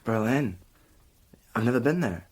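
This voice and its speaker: A man's voice: medium pitch, animated, a little slow, husky. An actor playing a needy, hesitant young male runaway in a radio drama, tentative and pleading in manner.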